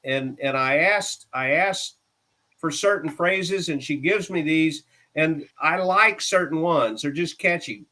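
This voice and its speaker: A man's voice: a little high, takes it slowly, bright, forceful tone. A man in a casual chat, patiently explaining phrases and spelling words out letter by letter.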